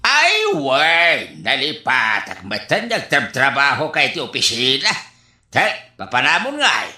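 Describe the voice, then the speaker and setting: A man's voice: mid-range voice, wildly swooping pitch, a little slow, pushed and bright, echoey room, full character voice. A man playing a nosy older neighbour in a comedy radio drama, prying and insistent, with an Ilocano accent.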